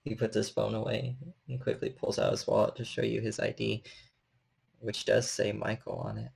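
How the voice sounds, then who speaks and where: Male storyteller, tabletop horror narration, gravelly fry, low pitch. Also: little pitch movement, moderate pace, darkish tone. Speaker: storyteller, game host.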